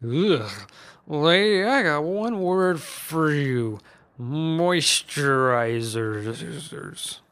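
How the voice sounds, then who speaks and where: A man's voice: drawn-out words, fairly bright tone, smooth. A man voicing a grumpy, wisecracking old uncle who drawls his taunts in a raised voice. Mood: taunting. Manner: taunting, drawling, nasal-high.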